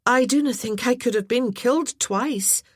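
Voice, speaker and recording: A woman's voice: a little high, moderate pace, dry recording, voice pushed up, natural voice. Woman doing a dry-witted fierce lady. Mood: wry. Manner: dry, teasing and grave. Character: wry, fierce young lady of a laird.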